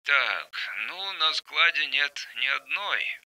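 A man voicing a curt, doubtful male production manager in an audiobook, speaking quietly and matter-of-factly. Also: fairly high pitch, animated, takes it slowly, exaggerated voice, rough recording. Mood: reluctant.

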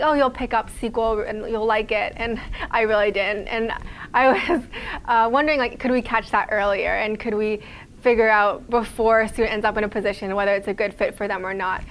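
A young woman from Quebec, Canada, gives a relaxed talk about her startup in a small office lounge. Her pitch stays level and unvaried, and she often pauses on "like" and "um". A faint hiss sits under the room sound. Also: rough recording. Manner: conversational, many fillers like "like" and "um". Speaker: guest speaker, startup founder. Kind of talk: casual talk to a small audience.

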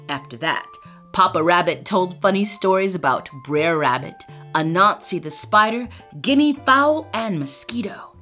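Middle-aged woman, storytime reader, lively and unhurried, low-ish voice, webcam in a book-lined room. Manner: animated, expressive storytelling. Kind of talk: children's read-aloud. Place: home room with bookshelves.

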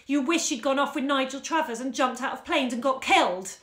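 A woman voicing an ill, fretful young wife with a hoarse voice in a read-aloud story. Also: sits high, fairly quick, a bit bright. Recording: roomy echo.

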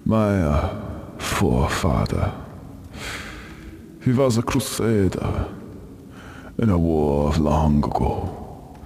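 An actor playing an old aristocratic vampire count in a video game, with a mock Transylvanian accent and formal, theatrical, drawn-out phrasing, courtly, gloomy and menacing. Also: male voice, extremely low pitch, very animated, mellow, breathy edge, heavily acted. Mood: wistful, brooding. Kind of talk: video game cutscene acting.

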